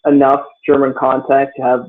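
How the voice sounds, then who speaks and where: A man's voice: mid-range voice, completely flat delivery, normal speed. A seventeen-year-old guy born and raised in the US, answering interview questions hesitantly with many fillers and restarts over a video call. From United States. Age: teens. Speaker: guest. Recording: tinny phone-like line.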